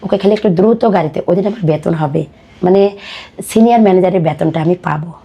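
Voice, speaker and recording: A woman with a Bangladeshi accent telling her personal life story as a podcast guest, in colloquial, halting speech. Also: on the low side, creaky, slightly rough, highs cut off.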